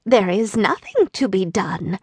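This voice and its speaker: A woman voicing a sweet, calm little old woman. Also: high voice, no room sound, clean studio sound, pitched above the performer's own voice, heavily acted. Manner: slow, courteous, calm. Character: tiny, kindly old woman, calm and gentle.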